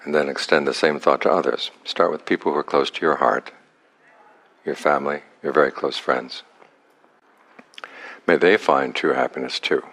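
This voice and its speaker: A man with an exceptionally deep voice guides a meditation. He speaks as a teacher, calm and unhurried, setting out short instructions and leaving long pauses between them. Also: husky.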